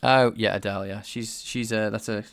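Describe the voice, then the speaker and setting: Scouse guy streaming game dev, low gravelly voice, talks to chat as he codes, keyboard clacking behind. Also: steady tone, moderate pace, a bit bright. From England. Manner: thinks aloud, answers chat, enunciates carefully. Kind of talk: live coding stream, chatting with viewers. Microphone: desk microphone.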